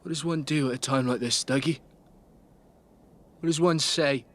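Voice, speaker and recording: A male actor plays a bitter, shaken rural man in a naturalistic radio drama. His medium-pitched voice comes in short, disjointed phrases that slide away from the point. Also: normal speed, a bit bright, lightly acted. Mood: dazed, bitter. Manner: clipped, disjointed, rambling off the point. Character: troubled rural man in shock, bitter and evasive.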